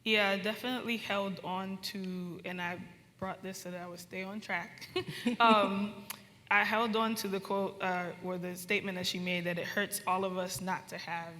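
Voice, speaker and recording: A woman from Baltimore, born in Trinidad and Tobago, speaking as a panelist in a measured, deliberate, earnest way. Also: medium pitch, steady tone, sharp tone. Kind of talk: panel discussion. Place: conference hall. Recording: echoey hall with long reverb.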